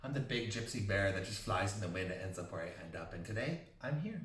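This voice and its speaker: Co-host, male, campy and joking, fast and animated; echoey room, mic set back. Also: low-pitched. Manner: campy, joking, self-mocking storyteller. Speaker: podcast co-host. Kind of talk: casual chat. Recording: roomy, mic at a distance.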